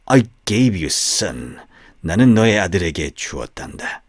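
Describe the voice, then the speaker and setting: A man voicing a wounded, vengeful snake in a storybook, speaking gravely and reproachfully in a hushed voice. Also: very animated, no room sound, studio quality, full character voice. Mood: grave reproach. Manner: low, hushed, slow and solemn.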